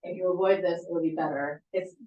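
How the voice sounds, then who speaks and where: A woman's voice: fairly low pitch, fairly even intonation, brisk. Chatty woman therapist in an echoey room. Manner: chatty, informal, many fillers and restarts. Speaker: sleep therapist giving a talk. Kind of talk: casual talk answering audience questions. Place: meeting room at a support center. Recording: echoey room, distant mic, muffled.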